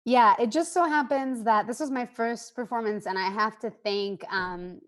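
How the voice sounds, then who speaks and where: A woman's voice: a little high, moderate pace, a bit bright. A woman from Mexico chatting casually as a guest, talking in a chatty way with restarts. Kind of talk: casual conversation.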